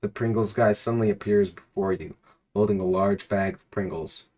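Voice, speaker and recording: A man with a fairly low voice reads absurd interactive fiction aloud. He tells it theatrically, leaning on the words with emphasis like a storyteller. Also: steady tone, moderate pace, a little mellow.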